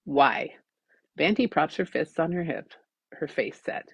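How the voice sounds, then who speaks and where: A woman reading her own audiobook story in an expressive, scripted narrating style. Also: deep voice, moderate pace, vocal fry, slightly rough, no room sound.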